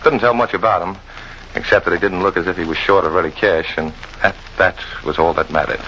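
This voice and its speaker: An actor playing a world-weary American jungle guide, wry and tough, in hard-boiled old radio drama style. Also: male voice, low voice, steady tone, fairly quick, bright, forceful tone. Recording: muffled old radio recording, clear noise.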